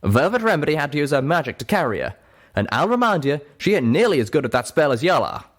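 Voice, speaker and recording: A man voicing a gruff, protective male pegasus with a drawl, blunt and scolding. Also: mid-range voice, sing-song, a little fast, a bit bright, pitched above the performer's own voice. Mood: angry scolding.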